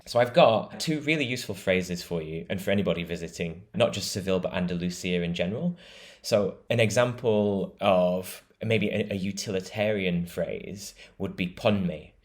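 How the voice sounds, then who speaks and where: A man's voice: low-pitched, moderate pace. A British man being interviewed as a travel writer, talking in an enthusiastic, explanatory way and laughing easily. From Britain. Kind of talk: conversational interview.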